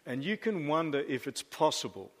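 A man's voice: fairly high pitch, big pitch swings, normal speed. Pastor, 50s, male, easygoing banter, echoey church hall. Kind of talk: sermon with live interview.

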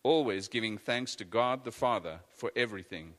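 A man reading scripture aloud in a measured, deliberate way. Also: fairly low pitch, a bit bright. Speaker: scripture reader. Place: church. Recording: echoey church hall.

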